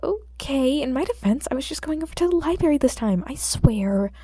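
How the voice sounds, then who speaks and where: A woman's voice: sits high, expressive, brisk, warm tone, dry recording, voice pushed up. A woman voicing a cheeky, defensive teenage boy vigilante in an audiobook, protesting with exaggeration. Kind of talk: audiobook character dialogue.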